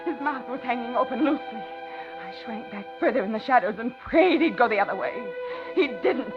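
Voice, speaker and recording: Dull vintage radio sound. Actress plays frightened female captive, high-pitched, polite on the surface, breathless dramatic narrator of a thriller. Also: lively intonation, normal speed, lightly acted. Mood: panicked. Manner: dramatic, breathless first-person suspense narration. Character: frightened captive woman, narrating her ordeal, outwardly polite. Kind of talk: 1950s radio thriller acting. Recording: dull 1950s radio drama, loud music.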